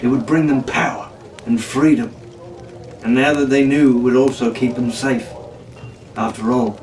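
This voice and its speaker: A man's voice: mid-range voice, fairly even intonation, moderate pace, darkish tone. A man narrating an adventure story in a theatrical, pirate-flavoured storyteller's delivery. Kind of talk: dramatic audiobook narration. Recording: roomy, distant, noisy home recording.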